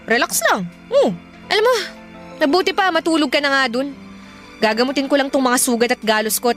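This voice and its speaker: Casting: brash Filipino older sister, radio soap, high voice, sharp-tongued, brushes off all criticism. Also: female voice. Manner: dismissive, sharp-tongued, taunting. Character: brash, shameless older sister, bar worker and mother. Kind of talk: Filipino radio drama acting.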